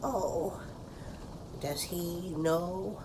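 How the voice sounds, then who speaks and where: A woman's voice: fairly low pitch, drawn-out words, darkish tone, a little hiss or hum. A woman playing an effusive, genteel little old lady in a sci-fi comedy audio drama. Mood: wistful. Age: older. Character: gracious, theatrical little old lady guest.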